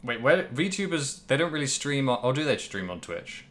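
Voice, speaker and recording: A man's voice: medium pitch, very animated, moderate pace, fairly bright tone. A man co-hosting a podcast in casual chat about a video game, rambling and thinking aloud. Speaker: podcast co-host.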